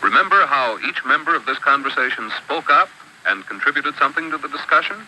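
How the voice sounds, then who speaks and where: A man narrates an old classroom film with a very bright, crisp tone. His pitch moves a lot as he sets out each rule in a measured, instructive way. A faint hiss sits under the old soundtrack. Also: lively intonation, poor audio. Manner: measured, instructive, lists rules one by one. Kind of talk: scripted educational narration.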